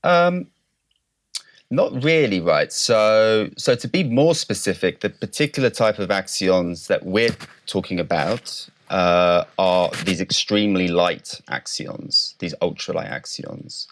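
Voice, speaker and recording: A man's voice: low-pitched, expressive. A man speaking as a scientist guest in an interview, explaining carefully and precisely, step by step. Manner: measured, precise, qualifying definitions step by step.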